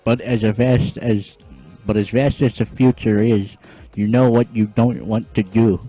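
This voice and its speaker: A man's voice: fairly low pitch, fairly even intonation, measured pace, dark tone, slightly rough, soft background music, rough recording. A man voicing a popular, folksy middle-aged mayor who gives a chatty speech with a slight put-on accent. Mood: earnest speech. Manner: chatty, jokey, public-speaking.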